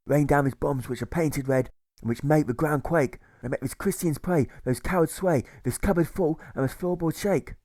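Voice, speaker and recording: A man reading a rhyming audiobook as scripted narration, with theatrical, measured emphasis. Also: medium pitch, darkish tone, no room sound. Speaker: author reading his own audiobook.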